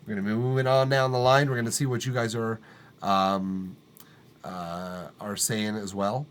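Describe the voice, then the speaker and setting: A man's voice: fairly low pitch, fairly even intonation, normal speed, a little hiss or hum. Male streamer with a tenor voice, laid-back, profane and rambling, with lots of ums. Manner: loose, profane, rambling with fillers and restarts. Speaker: livestream music feedback host. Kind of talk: casual live-stream chat. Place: acoustically treated home studio room.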